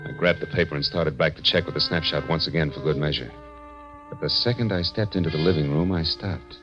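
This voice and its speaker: Deep-voiced hard-boiled American private eye. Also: male voice, a little fast. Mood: wry narrating. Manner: clipped, sardonic delivery. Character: wisecracking hard-boiled private detective, weary and tough. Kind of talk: 1940s radio acting, first-person noir narration. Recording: muffled old radio recording with music.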